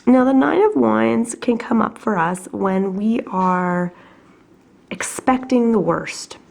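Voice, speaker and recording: A woman with a cold giving a chatty, reflective weekly tarot reading at home, her voice husky and her talk full of fillers. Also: fairly high pitch, animated, slow, darkish tone. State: has a cold, coughing. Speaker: tarot reader.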